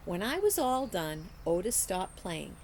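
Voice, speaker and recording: A woman reading a children's story aloud like a teacher, in a deliberate, careful storytelling way. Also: fairly low pitch, very animated, a little mellow, a little hiss or hum. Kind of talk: expressive children's story narration.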